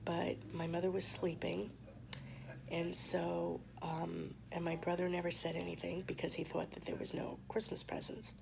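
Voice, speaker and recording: A woman vlogger losing her voice to hoarseness, telling a personal story to camera in a soft, halting way with many pauses. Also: fairly low pitch, monotone, noisy background.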